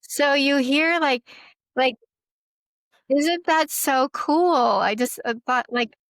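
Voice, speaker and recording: A woman's voice: high-pitched, takes it slowly, a bit bright, no echo. A woman guest on a podcast speaking informally and earnestly as a healer, sharing stories and testimonials in a conversational way. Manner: earnest, informal, frequent "like" and "right?". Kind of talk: conversational storytelling, reading out testimonials.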